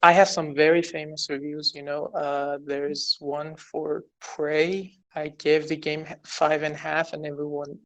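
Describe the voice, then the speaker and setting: Flat-toned man as a podcast guest. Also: a little high, a little slow, few pauses, rough recording. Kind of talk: casual chat.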